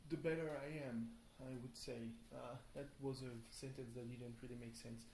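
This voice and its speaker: A tired young man rambles casually through a video diary, halting and thinking aloud, often questioning himself. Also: mid-range voice, fairly even intonation, vocal fry, a little mellow. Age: young adult. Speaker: video blogger. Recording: roomy echo, faint background noise.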